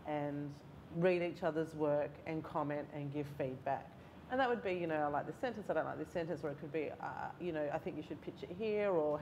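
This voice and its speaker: A woman's voice: deep voice, darkish tone, a little hiss or hum, rough recording. A woman in her forties with an Australian accent, a writing lecturer telling candid stories with self-deprecating humour on a festival panel. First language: Mauritian Creole or Italian. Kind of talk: panel discussion. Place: large hall, seated on stage.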